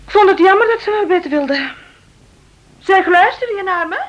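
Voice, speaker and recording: An actress playing a lively, affectionate detective's wife in a radio drama, expressive and coaxing. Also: female voice, extremely high pitch, moderate pace, low-quality recording. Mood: dreamy, delighted.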